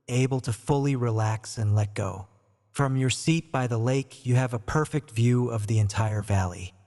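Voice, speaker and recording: Soothing male meditation narrator in long reverb. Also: mid-range voice. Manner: gentle, soothing, measured delivery. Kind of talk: guided sleep meditation storytelling, scripted narration.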